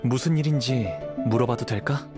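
A man voicing a calm, courteous young wandering swordsman in a video game, speaking softly, politely and with measured thought. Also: medium pitch, over music, close to an everyday voice. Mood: calm, attentive.

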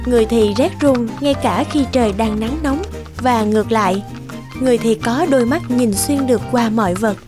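A woman narrates a children's fairy tale from a script. Her voice is on the high side. Music plays clearly behind her. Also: normal speed.

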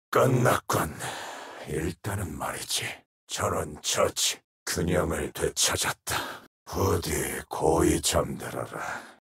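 A man voicing a menacing, brooding shadow wraith assassin in a video game, with a hoarse, whispery rasp and slow, ominous, terse delivery. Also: low voice, fairly bright tone, heavily acted. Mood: cold, contemptuous. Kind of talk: video game character voice lines.

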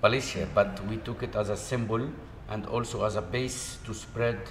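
A man's voice: lowish voice, fairly even intonation. Hesitant man in an echoey hall. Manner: hesitant, halting, with frequent "uh" fillers; non-native English with grammar slips. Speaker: interview guest. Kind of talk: conversational interview answers. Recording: echoey hall with background noise.

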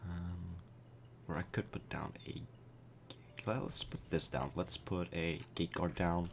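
A man's voice: low-pitched, fairly even intonation, a little slow, creaky, slight background noise. A young man commenting casually on his gameplay at night, his voice cracking and strained after a concert, with many fillers as he explains.

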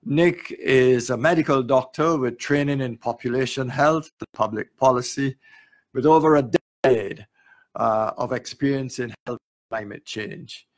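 An older man formally introducing a speaker at a conference podium, measured and pausing with fillers. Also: medium pitch. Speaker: event chair. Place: hotel conference room. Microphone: podium microphone.

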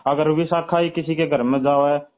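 Narrow telephone sound, young man, Haryanvi accent, slightly high pitch, questioning, argumentative. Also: fairly even intonation, moderate pace. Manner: questioning, presses his point. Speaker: bride's brother in a family dispute. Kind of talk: argumentative phone conversation. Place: recorded phone call. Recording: tinny, narrow phone-call recording.

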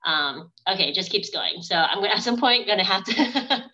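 A woman's voice: mid-range voice, animated, a little fast, sharp tone. A woman in her thirties hosting a casual online event over a video call. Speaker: event host. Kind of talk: casual livestream hosting, reading out comments. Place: indoors on a video call with virtual background. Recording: dull, lo-fi video-call audio.

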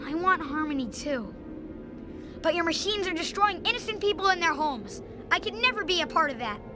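A performer voicing an earnest, gentle twelve-year-old boy hero in a cartoon game. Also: very high-pitched, expressive, fairly quick, music in the background. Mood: pleading. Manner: youthful, earnest.

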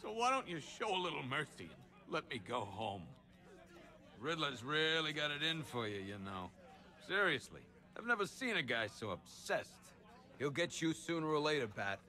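An actor playing a shifty, talkative prisoner in a game, wheedling and making excuses. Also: male voice, fairly high pitch, big pitch swings, normal speed, pushed and bright. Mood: wheedling, taunting. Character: shifty, pleading male captive informant, weaselly, talkative. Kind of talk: video game character acting.

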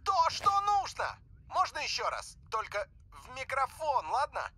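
Fussy, gushing high-pitched studio director. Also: female voice, huge pitch swings, unhurried, sharp tone, heavily acted. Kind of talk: animated cartoon dubbing. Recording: music playing behind the voice.

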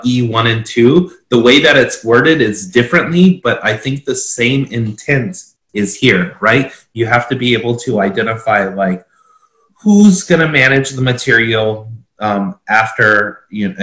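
A man in his forties explaining requirements informally and haltingly, repeating words as he goes. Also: on the low side, fairly bright tone. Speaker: consultant explaining a standard. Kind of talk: explanatory talk, reading requirements aloud. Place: small indoor office. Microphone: video call computer microphone. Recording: echoey room, video call.

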